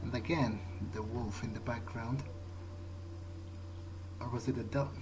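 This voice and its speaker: A man's voice: mid-range voice, fairly even intonation, a little slow, noisy background. A man playing a video game in character, thinking aloud in a hesitant, musing way as he goes. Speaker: gamer roleplaying in character.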